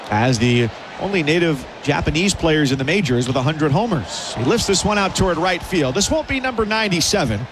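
A man calling baseball play-by-play on the radio, brisk and rising with the action. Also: fairly high pitch, noisy background. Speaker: play-by-play announcer.

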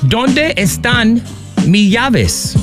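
A man's voice: extremely high pitch, big pitch swings, extremely slow. A man hosting a playful quiz as a show co-host, teasing and switching between English and Spanish. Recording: loud music under studio talk. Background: music.

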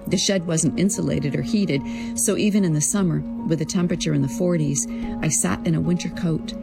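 A woman writer narrating her own memoir in an expressive, deliberate, literary way, acting out the dialogue. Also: deep voice, vocal fry, over loud music. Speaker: creative writing teacher. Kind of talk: prepared personal storytelling, narrated memoir.

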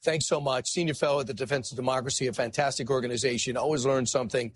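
A man hosting a talk show, asking probing questions in a brisk, conversational way. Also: medium pitch, fairly even intonation, a little hiss or hum.

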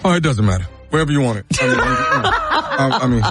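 A man's voice: medium pitch, huge pitch swings, brisk, loud music behind the voice. A man, a regular on a morning radio show, chiming in with wry, laid-back remarks.

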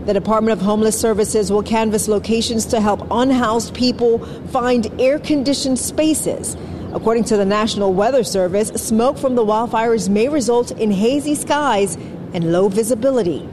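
A woman narrating a news report, measured and informative. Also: a little high, noisy background.

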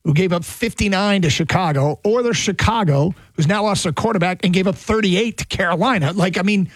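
A man with a somewhat high, husky voice hosts a sports radio show. He talks casually and thinks aloud, often restarting his sentences. The sound is dry and close to the mic. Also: fairly quick, no room sound.

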